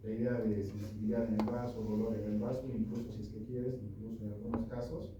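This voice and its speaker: A man's voice: fairly low pitch, level tone, fairly quick, dull audio. A man giving an informal medical lecture, talking conversationally and often checking in with his listeners. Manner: conversational, keeps asking "¿no?" and "¿ya?". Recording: echoey room from far off, noisy.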